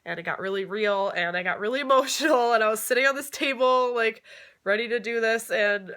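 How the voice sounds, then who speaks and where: A woman's voice: on the high side, a little fast, fairly bright tone. A young woman with a cold, sounding stuffed up, chatting casually to her vlog viewers with lots of fillers. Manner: chatty, many fillers, occasional laughs. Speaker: vlogger.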